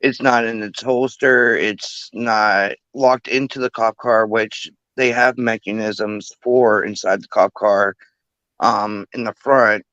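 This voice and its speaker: A man, a panel guest, explaining calmly with fillers and restarts over a remote call. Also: fairly low pitch, slow pace, dry recording, highs cut off. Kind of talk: casual panel talk.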